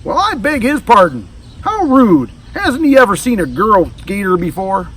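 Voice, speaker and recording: A man's voice: sits high, very animated, normal speed, fairly bright tone, husky, pitched above the performer's own voice, full character voice. A man voicing a bold, boisterous girl alligator from a storybook, dramatic and easily offended. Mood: indignant. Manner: exclamatory, dramatic. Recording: distant mic with faint background noise.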